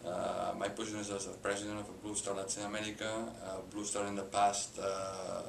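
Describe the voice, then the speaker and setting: A middle-aged Spanish-accented man with a very low, gravelly voice talks in a flat, even way, stopping often to search for words. He is recorded in an echoey room with a steady hiss behind him. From Spain. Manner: halting, many filler "uh"s and restarts. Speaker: company president, interview guest. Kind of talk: hesitant unscripted interview answers.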